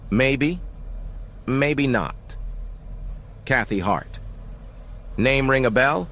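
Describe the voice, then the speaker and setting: An actor playing a tough, cynical 1940s private eye in a noir radio drama, clipped, sardonic and confrontational. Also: male voice, medium pitch, expressive, extremely slow, fairly bright tone, full character voice. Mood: sardonic, pressing.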